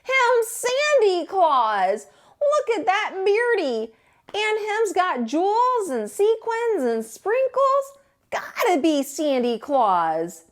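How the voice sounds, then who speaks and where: A woman's voice: very high-pitched, wildly swooping pitch, slow pace, voice pushed up, exaggerated voice. A woman voicing a silly, dim-witted storybook hunter with a childlike, awestruck manner. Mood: awestruck delight. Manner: childlike, awestruck, broken grammar.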